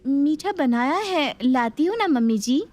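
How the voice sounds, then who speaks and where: Woman playing young daughter-in-law, high voice, sly, lazy, falsely meek, smug, cartoon family story. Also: animated, a little slow, a little mellow.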